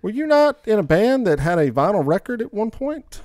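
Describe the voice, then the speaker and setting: A man's voice: high-pitched, big pitch swings, fairly quick, a little mellow, no echo, clean studio sound. A man hosting a casual podcast, bantering and debating in a colloquial, opinionated, teasing way. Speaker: podcast host.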